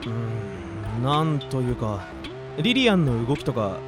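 A man playing a grumbling straight-man teenage boy, casual and given to sharp retorts, in an anime-style radio drama. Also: medium pitch, big pitch swings, measured pace. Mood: hesitant, puzzled. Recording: background music under the voice.

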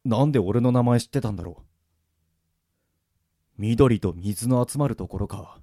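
A man's voice: medium pitch, normal speed, mellow, no room sound, clean studio sound, natural voice. Man acting a grumbling, blunt young guy. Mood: puzzled, muttering. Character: grumbling, unlucky, skeptical casual young man. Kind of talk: Japanese radio drama acting with monologue.

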